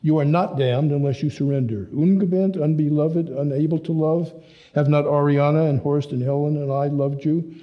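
A man's voice: a little high, steady tone, moderate pace, highs cut off, pitched above the performer's own voice, lightly acted. A man voicing an earnest young German man with a German accent who speaks stiff, formal English and argues with passion. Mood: impassioned.

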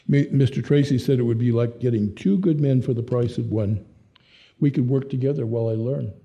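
Earnest young German man, read by a male narrator, German accent, impassioned formal English, mellow tone. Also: medium pitch, a little fast, gravelly, highs cut off, close to an everyday voice. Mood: pleading.

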